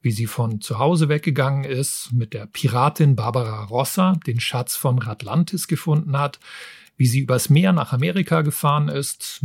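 A man's voice: medium pitch, a little mellow, breathy edge, no echo, clean studio sound. A man hosting a book show, presenting reviews in a partly scripted narrating style. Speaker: book podcast host and reviewer.

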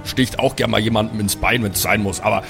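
A man's voice: on the low side, fairly even intonation, a little fast, creaky, music in the background. A man playing an earnest, dignified dwarf cleric who speaks formally and with some hesitation.

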